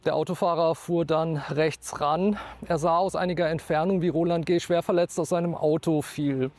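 A man's voice: on the high side, steady tone, normal speed, noisy background. A man in his thirties or forties, a true-crime TV expert, retelling a case factually on camera outdoors into a lapel mic. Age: 30s or 40s. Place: outdoors in town.

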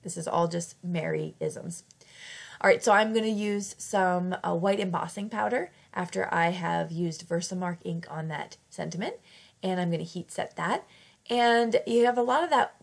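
A woman's voice: lowish voice, normal speed, creaky. A woman fighting off a cold, narrating a casual craft tutorial in a chatty, self-correcting way. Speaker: craft channel host.

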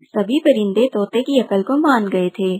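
A woman's voice: mid-range voice, a little slow, darkish tone, slightly rough, rough recording. A woman narrating a scripted children's story.

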